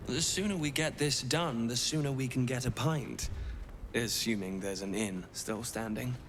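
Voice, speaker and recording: A man's voice: medium pitch, normal speed, fairly bright tone, slight background noise, lightly acted. A man voicing a wry, down-to-earth fighter in a fantasy video game. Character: wry, down-to-earth tavern-loving male fighter. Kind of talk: video game character acting.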